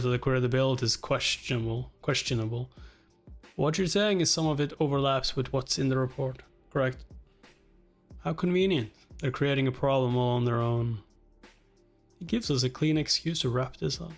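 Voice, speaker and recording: A man reading webtoon dialogue and captions aloud, acting out the lines dramatically as he goes. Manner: acts out each line, shifting pitch between characters. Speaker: reaction channel host reading a webtoon aloud.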